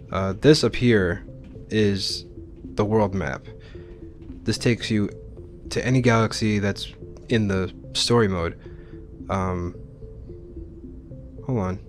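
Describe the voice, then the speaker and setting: Male gameplay host. Informal, explanatory commentary, fairly low voice, light music underneath. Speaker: Let's Play host.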